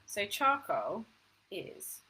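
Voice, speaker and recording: A woman's voice: mid-range voice, sing-song, very slow, noisy background. A woman teaching a home-education history lesson to camera, chatty and self-deprecating, thinking aloud as she goes.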